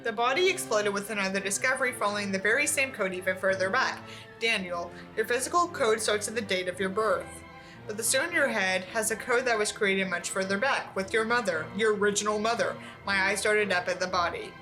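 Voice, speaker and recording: A woman reading a book aloud in an expressive, exasperated way, with sarcastic asides. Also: medium pitch, fairly quick, faint music behind, rough recording.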